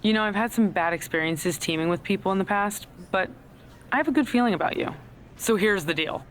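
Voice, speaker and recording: A woman's voice: medium pitch, fairly quick, slight background noise, natural voice. An actress playing a scheming, self-serving female wrestler in a video game cutscene, talking in a casual, conspiratorial way. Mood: sly, persuasive.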